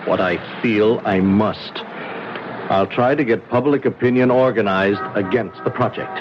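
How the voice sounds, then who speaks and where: An actor playing a troubled, obsessed scientist with grim resolve and a weary, earnest manner in a 1970s radio drama. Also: male voice, fairly low pitch, measured pace, music in the background, natural voice. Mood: resolved. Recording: dull, noisy 1970s radio recording.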